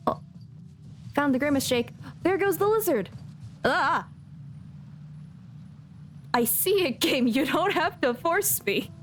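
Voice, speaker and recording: Animated, high-voiced woman streaming a game. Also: takes it slowly, pauses often, slight background noise. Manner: chatty, playful, animated asides. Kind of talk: casual live game commentary. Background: faint game music.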